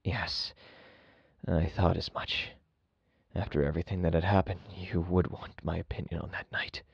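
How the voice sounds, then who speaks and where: A man playing a haunted doctor, solemnly recounting horrors in a measured, dread-laden gothic dramatic reading. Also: low-pitched, flat delivery, creaky, slightly rough, no room sound, exaggerated voice. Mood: grave, reluctant. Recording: dull audio with faint music.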